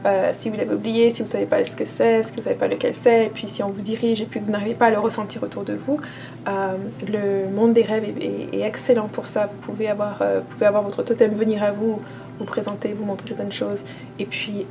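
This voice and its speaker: A woman's voice: medium pitch, gravelly, warm tone. A woman vlogger talking casually to camera as a spiritual teacher, hesitant, with many fillers and restarts.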